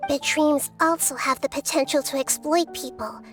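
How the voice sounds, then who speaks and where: A young girl deity with a high, gentle voice for a video game. She is articulate, thoughtful and compassionate, choosing words with earnest care. Music plays clearly behind her. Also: fairly even intonation. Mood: solemn, apologetic. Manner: measured, thoughtful, articulate, soft-spoken. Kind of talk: scripted video game dialogue.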